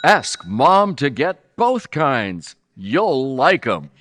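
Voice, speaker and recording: A man's voice: medium pitch, big pitch swings, drawn-out words, fairly bright tone, exaggerated voice. A man playing a grand, dramatic 1940s radio announcer with a polished delivery. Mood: salesmanlike.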